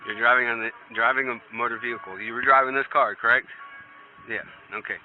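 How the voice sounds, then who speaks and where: A man's voice: mid-range voice, steady tone, a little fast, faint music behind. Tinny bodycam audio, American policeman questioning. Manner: persistent, repeats answers back as questions. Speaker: police officer questioning a driver. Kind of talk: roadside questioning. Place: outdoors at a roadside at night. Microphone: body-worn camera.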